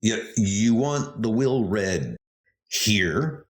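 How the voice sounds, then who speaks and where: A man's voice: lowish voice, lively intonation, very slow. A man voicing a polite, hesitant lawyer in a comic ghost story dub, speaking formally. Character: hesitant, deferential male lawyer reading a will.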